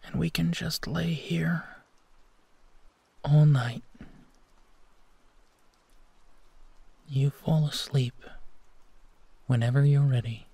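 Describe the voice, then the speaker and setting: Male actor as tender protective werewolf boyfriend for comfort audio; unhurried, mellow, close and dry. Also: a little high, gravelly, breathy edge, no echo, exaggerated voice. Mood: loving, sleepy. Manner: soft, soothing, hesitant pauses, often drops to whispering. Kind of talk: intimate roleplay audio, sleep-aid.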